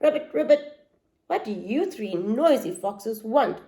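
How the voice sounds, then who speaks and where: Croaky pond frog as a woman reader does it; bouncy, high storybook voice. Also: animated, unhurried, dark tone. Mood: blunt. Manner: croaks ribbit.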